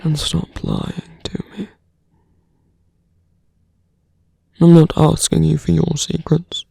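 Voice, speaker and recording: A gravelly, heavily creaking villain acted out by an ASMR performer speaks slowly and very close, in a dry space. The voice is breathy and often sinks into a whisper, seductive and taunting with quiet menace. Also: mid-range voice, little pitch movement, no echo, exaggerated voice. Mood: pressing, intimate. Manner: slow, deliberate, taunting. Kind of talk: intimate ASMR roleplay acting.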